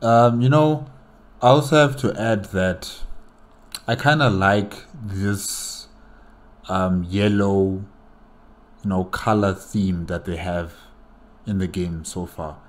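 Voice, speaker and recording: A man's voice: sits low, fairly even intonation, slow pace, long pauses. A man giving casual, rambling reaction commentary as a video host, hesitating as he thinks aloud.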